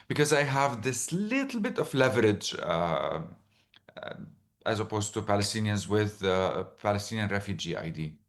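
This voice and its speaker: A man with a Lebanese accent telling personal stories as an interview guest, halting as he searches for words. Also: fairly low pitch. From Lebanon, born in the Emirates. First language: Arabic. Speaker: interview guest, author and storyteller. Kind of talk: reflective personal storytelling in conversation.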